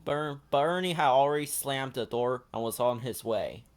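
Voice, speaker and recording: A man's voice: medium pitch, moderate pace. Man reading a children's storybook aloud. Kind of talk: expressive scripted storybook narration.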